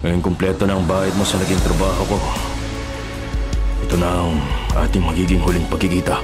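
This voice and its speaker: Male actor as hard Filipino hitman for radio crime drama, low and terse, loud music beneath. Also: flat delivery, a little fast, natural voice. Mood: cool, businesslike. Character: gruff, businesslike hired hitman in a crime drama.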